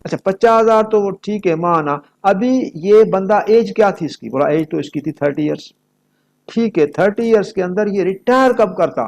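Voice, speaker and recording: A man's voice: high voice, normal speed, creaky, darkish tone, muffled recording. A man with a Pakistani accent giving an explanatory lecture, posing questions and answering them himself in a didactic way. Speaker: law lecturer. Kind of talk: explanatory lecture mixing Urdu and English.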